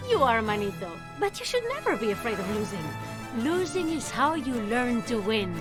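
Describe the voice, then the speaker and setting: An actress playing a cocky, daring young swashbuckling heroine in a video game, self-assured, teasing and playfully dramatic. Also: female voice, a little high, big pitch swings, moderate pace, over music. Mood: earnest, fond. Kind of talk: lively video game cutscene acting.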